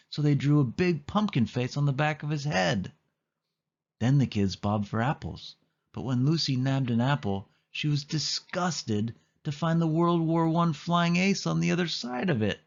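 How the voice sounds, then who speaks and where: Male narrator for a children's read-along, mid-pitched, telling the story from script on a slightly muffled recording. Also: normal speed, gravelly.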